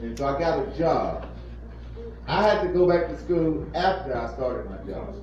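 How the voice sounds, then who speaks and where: 50s guy giving kids a pep talk, earnest, repeats himself, high-ish voice, far off in a boomy room, dull muffled sound. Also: expressive, normal speed. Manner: earnest, repetitive, preacherly emphasis. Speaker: plant manager speaking to children. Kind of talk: informal motivational talk to kids. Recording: echoey, distant, muffled room recording with faint noise.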